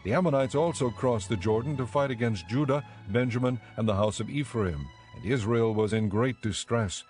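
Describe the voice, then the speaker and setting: A man narrating a dramatized audio Bible in a measured, clearly enunciated storytelling style. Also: medium pitch, darkish tone, faint music behind.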